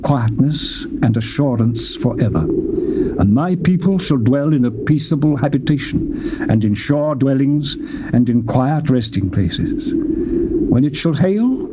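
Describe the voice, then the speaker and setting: A man reading scripture aloud in a solemn, declamatory manner, with wide swings in pitch. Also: lively intonation, slow pace. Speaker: Bible reader. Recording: loud background noise.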